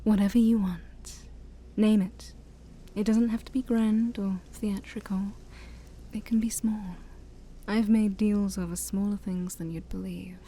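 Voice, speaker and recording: Soft, slow-talking demon princess, acted by a woman. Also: mid-range voice, steady tone, slight background noise, natural voice. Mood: gently pleased. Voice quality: soft, breathy, often near whisper. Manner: theatrical, formal wording with awkward hesitations. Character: shy, haughty ancient demon princess, easily flustered. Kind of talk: soft-spoken ASMR roleplay.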